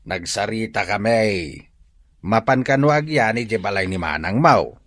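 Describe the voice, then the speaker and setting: Casting: jokey, frank Ilocano everyman for radio comedy, bright forceful voice, swooping pitch, boastful. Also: lively intonation, a little slow, no room sound, studio quality. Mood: blunt.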